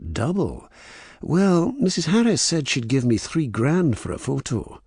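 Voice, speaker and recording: A man's voice: fairly high pitch, big pitch swings, measured pace, vocal fry, a little mellow, husky, no room sound, studio quality, voice pushed up, full character voice. A man voicing a sly, opportunistic con artist who haggles casually, in an audiobook. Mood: sly haggling.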